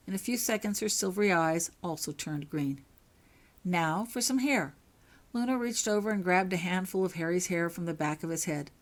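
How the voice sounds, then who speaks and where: A woman narrates fiction from a script, reading her own writing. Her voice sits a little low for a woman as she tells the story.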